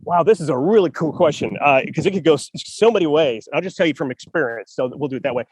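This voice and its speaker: A man with a somewhat high voice rambles and jokes through a casual podcast chat. He is on a video call from an outdoor park, and his voice comes through dry and close. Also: slightly rough, no echo, rough recording. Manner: jokey, rambling, quick to laugh. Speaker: co-host, writer. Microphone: video call over spotty public Wi-Fi.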